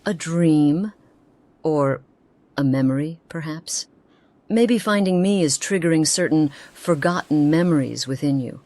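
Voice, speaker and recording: A woman's voice: low-pitched, unhurried, a little mellow, dry recording. An actress playing a grave, haunted woman companion in a fantasy game, speaking formally and earnestly. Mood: concerned, searching. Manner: formal archaic phrasing, earnest. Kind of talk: dramatic fantasy game voice acting.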